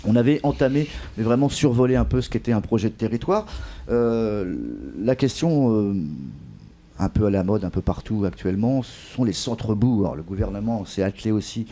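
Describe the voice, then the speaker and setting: Male interviewer, local current affairs. Lowish pitch, soft dark tone. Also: normal speed.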